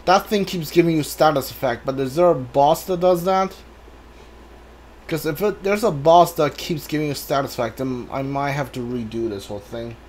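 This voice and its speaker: Hesitant, higher-voiced male game streamer. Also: normal speed. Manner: hesitant, rambling, thinking aloud. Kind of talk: casual live playthrough commentary. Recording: faint background noise from game ambience. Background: video game ambience.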